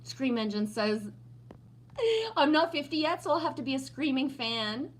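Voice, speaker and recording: A woman's voice: high-pitched, normal speed. Playful Texan-born woman in her fifties. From Texas. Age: 50s. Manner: playful teasing, laughs often. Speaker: livestream host reading chat. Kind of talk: casual livestream chat.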